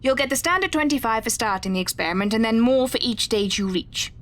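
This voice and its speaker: A woman playing a polite, composed psychology researcher in an audio drama, explaining things in a formal, measured way. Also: fairly high pitch, expressive, a bit bright. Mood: formal, explanatory.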